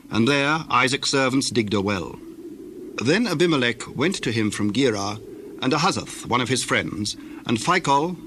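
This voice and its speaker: A man narrating scripture from a script in a measured, formal way. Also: medium pitch, fairly bright tone. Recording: faintly noisy old recording.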